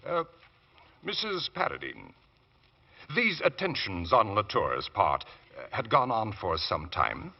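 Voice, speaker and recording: Male, needling prosecutor, extremely animated intonation, muffled vintage radio courtroom recording. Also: lowish voice, slow, gravelly, heavily acted. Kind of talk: old-time radio drama acting.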